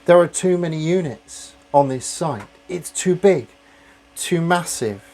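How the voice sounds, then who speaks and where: A man putting on a picky young woman who frets that everything is too big, too cold, too much. She sounds fussy and complaining, pitched up above his usual voice. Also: lively intonation, a little slow, a little mellow, a little hiss or hum.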